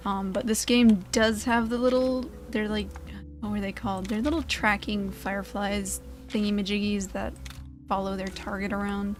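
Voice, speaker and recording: A woman giving casual let's play commentary in a rambling, conversational way as host of a gaming video. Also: medium pitch. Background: game music.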